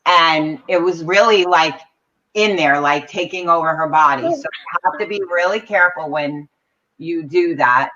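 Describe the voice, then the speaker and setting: A woman's voice: low-pitched, moderate pace, bright, forceful tone. A woman co-hosting a live psychic chat, explaining things in an earnest way over a video call. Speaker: psychic reader, co-host. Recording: dull lo-fi audio.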